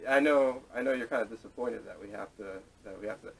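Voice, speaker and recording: A man co-hosting a casual movie chat in a halting way, often restarting his questions, recorded on poor camera audio. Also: mid-range voice, vocal fry, a bit bright, breathy edge, far from the mic. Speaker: podcast co-host. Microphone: camera microphone at a distance.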